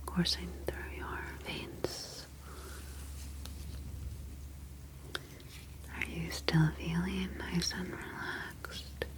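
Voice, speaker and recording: A woman playing a calm, superior ocean alien scientist in an ASMR roleplay, speaking in a breathy, soothing whisper with formal, deliberate phrasing. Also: fairly low pitch, rough recording. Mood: soothing, clinical.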